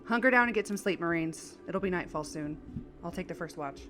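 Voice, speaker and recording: A woman's voice: fairly low pitch, lively intonation, fairly quick, over music, lightly acted. A woman playing a tough, pragmatic Marine sergeant in a science fiction audio drama, plain-spoken and commanding. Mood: steady, weary.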